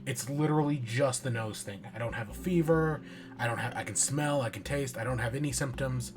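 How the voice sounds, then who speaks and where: A man streaming a game and chatting casually, with a stuffy nose and a raspy throat from a cold, talking in a rambling, offhand, self-mocking way. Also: mid-range voice, fairly quick, faint music behind. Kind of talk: casual chat while playing a game.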